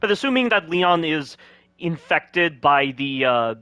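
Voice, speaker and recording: Critical male game commentator, bright voice. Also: on the high side, expressive, unhurried, clean tone, no echo. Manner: critical, full of rhetorical questions. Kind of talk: critical gameplay commentary over cutscenes.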